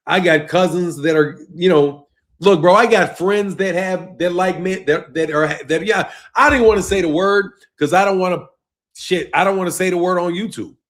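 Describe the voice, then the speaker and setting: A fairly high-pitched man. He leans hard on "bro" and "man," and his voice sinks low and quiet as each phrase trails off. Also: moderate pace. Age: 50s. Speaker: boxing channel host. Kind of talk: casual live-stream rant reading chat.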